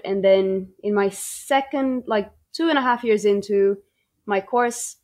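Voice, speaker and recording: A young woman from Brazil, a native Portuguese speaker, talking casually about her research as a podcast guest, with frequent ums and restarts. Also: medium pitch. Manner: chatty, many ums and restarts. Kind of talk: podcast interview, casual storytelling.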